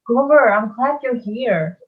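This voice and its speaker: A woman's voice: a little high, lively intonation, measured pace, breathy edge. Woman as sceptical boy, bewildered, theatre table read, slightly dull audio. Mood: unsure, sincere. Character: Percy, sceptical, bewildered young boy hero. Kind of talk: online table read of a stage musical.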